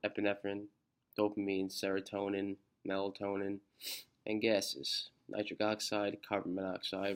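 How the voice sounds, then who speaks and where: Man, stuffy congested voice, lowish and flat, reading outline notes, stumbles on jargon. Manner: halting, restarts on technical words. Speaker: student presenter. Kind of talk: reading a study outline aloud.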